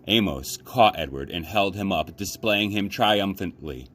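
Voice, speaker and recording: A man reading a children's story aloud as an expressive narrator, like a librarian at story time. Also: low-pitched, unhurried.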